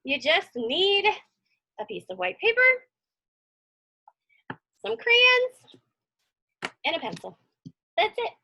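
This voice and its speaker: Bright, high-voiced woman reading to children. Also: huge pitch swings, takes it slowly, pauses often. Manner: encouraging, asks listeners questions. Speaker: teacher hosting a children's read-along. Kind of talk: storybook read-aloud and informal chat to children.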